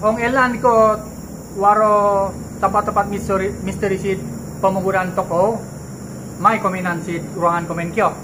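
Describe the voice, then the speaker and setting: A man's voice: high voice, fairly even intonation, normal speed. A young Malaysian man with a Malaysian accent, vlogging and talking casually to his viewers in a chatty, reassuring way, recorded outdoors at night on a handheld camera mic. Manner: chatty and reassuring, mixes in Kadazan Dusun phrases. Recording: noisy outdoor night ambience with insects.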